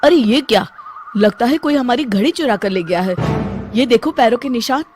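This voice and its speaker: An actor playing an earnest inventor scientist with a declamatory manner in an animated Hindi story. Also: male voice, very high-pitched, moderate pace, soft background music. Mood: alarmed. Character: earnest scientist inventor, proud then worried. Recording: faint background noise.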